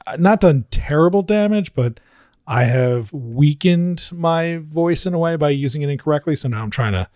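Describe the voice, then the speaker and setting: A man with a deep voice chatting casually on a podcast, reflective and self-deprecating. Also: expressive, normal speed, a little mellow, dry recording, studio quality. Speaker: podcast host.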